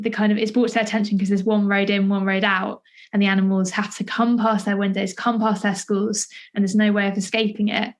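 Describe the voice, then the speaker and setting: A young British woman conversationally interviewing, with a tentative, discursive way of thinking aloud. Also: medium pitch. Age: young adult. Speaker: podcast interviewer and playwright.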